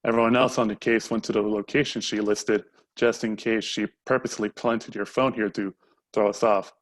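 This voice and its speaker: A man's voice: lowish voice, little pitch movement, moderate pace, close to an everyday voice. A man in an amateur play acting a protective policeman father with an earnest, explanatory manner. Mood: relieved, earnest.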